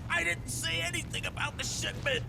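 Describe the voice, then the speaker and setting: A man's voice: very high-pitched, brisk, vocal fry, noisy background. A man playing a nervous, pleading gang mechanic in a crime video game. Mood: panicked, pleading.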